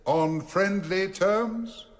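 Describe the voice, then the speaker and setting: An actor playing a formal prosecuting barrister putting pointed questions in a radio drama. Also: male voice, high voice, extremely slow.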